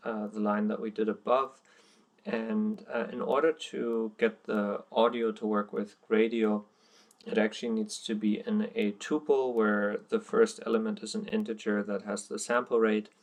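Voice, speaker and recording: A man's voice: fairly low pitch, creaky. A young man whose first language is German, talking through code in a casual tutorial with frequent ums and uhs. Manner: hesitant, many ums and uhs, plain explaining. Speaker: coding tutorial presenter. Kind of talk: screen-recorded coding walkthrough.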